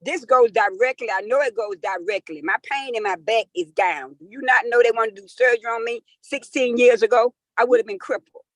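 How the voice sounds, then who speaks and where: A woman, telling an animated testimonial full of acted-out dialogue. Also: a little high, fairly quick, hardly any pauses, no echo. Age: 50s. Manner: lively, acts out quoted dialogue, emphatic. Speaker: caller sharing a testimonial.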